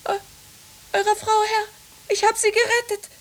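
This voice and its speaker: Very high-voiced servant girl, breathless, zealous and self-important, vintage radio drama. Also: level tone, normal speed. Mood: frightened, pleading. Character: excitable teenage servant girl in a witch hunt. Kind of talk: 1950s German radio play acting.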